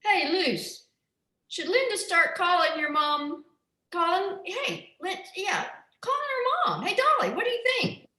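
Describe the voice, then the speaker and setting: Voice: very high-pitched, big pitch swings, measured pace, sharp tone, far from the mic, exaggerated voice. A woman voicing a blunt, wisecracking old widow. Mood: brassy. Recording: echoey room, off-mic.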